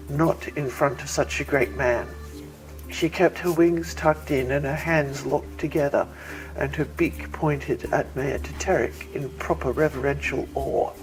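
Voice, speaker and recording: A man's voice: fairly high pitch, fairly even intonation, a little slow, low-quality recording. A man reading their own science fiction story aloud as scripted audiobook narration. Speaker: author reading own novel. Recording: music bed behind.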